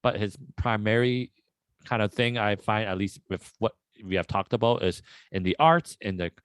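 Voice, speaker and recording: A man hosting a casual podcast interview, rambling and relaxed with many fillers. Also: on the low side, moderate pace, smooth, no room sound. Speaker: podcast host.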